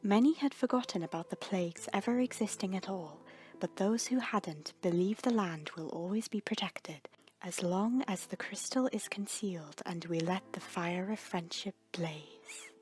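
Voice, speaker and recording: A woman's voice: medium pitch, normal speed, darkish tone. A woman reads scripted storybook narration in a dramatic, solemn storytelling manner. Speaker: narrator reading fan fiction. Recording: music under the voice. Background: background music.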